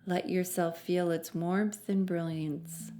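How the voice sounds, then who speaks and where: A woman narrating a guided meditation in a soothing, measured manner with long pauses between phrases. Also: on the low side, steady tone, mellow, faint music behind.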